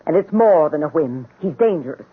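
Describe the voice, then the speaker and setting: An actress playing a cruel, power-hungry wife in a 1970s radio drama, cool, commanding and taunting. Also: female voice, low-pitched, animated, a little fast, breathy edge. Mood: cold, imperious. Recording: muffled, phone-like old radio recording.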